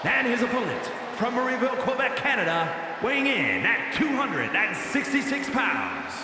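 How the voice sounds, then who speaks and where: A man playing a showy wrestling ring announcer, building grandly to drawn-out names over an arena PA. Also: extremely high pitch, big pitch swings. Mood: grand, rousing. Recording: echoey arena PA, loud crowd noise.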